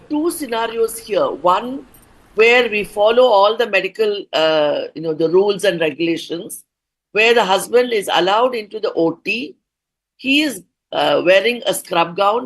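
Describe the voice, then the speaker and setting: A woman doctor, answering questions as an expert guest on a news program. She explains medical rules in a careful, measured way, pausing often with "uh" and "you know", at a middle pitch. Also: animated, low-quality recording. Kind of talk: TV interview answers.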